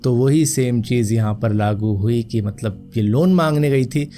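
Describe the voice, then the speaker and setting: A man's voice: mid-range voice, measured pace, mellow, faint music behind. A man hosting a news roundup, explaining stories in an informal, conversational way and addressing viewers as friends. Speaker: host of a news roundup show.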